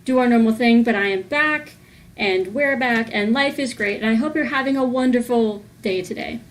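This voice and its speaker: A woman reading a rhyming picture book aloud for children in a deliberate, sing-song way. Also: a little high, slight background noise. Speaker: host of a children's read-aloud series.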